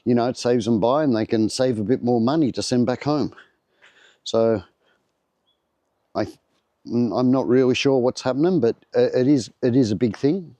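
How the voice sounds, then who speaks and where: A man's voice: mid-range voice, normal speed, pauses often, warm tone, dry recording. An older Australian man chatting casually to his vlog audience. He rambles along with lots of fillers and restarts, and his voice still sounds slightly off from the flu. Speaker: vlogger. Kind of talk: casual chat to camera. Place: at his home by a greenhouse.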